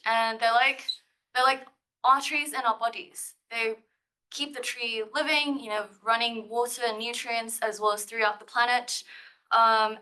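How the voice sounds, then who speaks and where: A young woman from Thailand gives a live talk to a room, slightly high and very bright in tone. She sounds nervous and giggly, with a playful touch. She is presenting her science-writing thesis. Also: poor audio. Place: lecture room.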